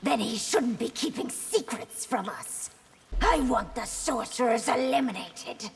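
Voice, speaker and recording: Young fantasy empress for a fighting game: high voice, demanding, forthright. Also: female voice, lively intonation, measured pace, gravelly, breathy edge, full character voice. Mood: insistent. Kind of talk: video game character acting.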